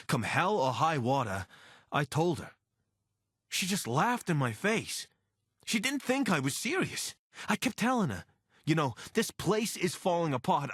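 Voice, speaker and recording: An actor playing an anxious, wry deserter soldier and pilot in a video game, speaking in a conversational, earnest way. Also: male voice, fairly low pitch, fairly bright tone, breathy edge, no room sound, studio quality, heavily acted. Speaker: voice actor for a companion character. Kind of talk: video game character dialogue.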